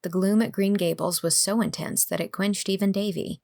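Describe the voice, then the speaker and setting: A woman's voice: medium pitch, fairly even intonation, moderate pace, creaky, darkish tone. A woman reading scripted narration for a classic storybook.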